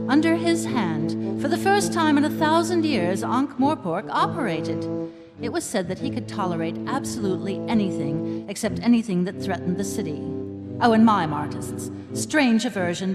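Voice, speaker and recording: A woman's voice: mid-range voice, natural voice. A woman playing a wry storyteller, giving droll scripted narration for a comic fantasy in live audio theatre. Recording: live hall, music underneath.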